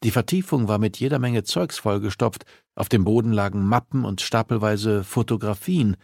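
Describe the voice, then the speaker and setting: A man narrates scripted fiction as an audiobook reader. His voice is on the low side, and the delivery is dramatic, captured close and very dry. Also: moderate pace, creaky, a little mellow, no room sound.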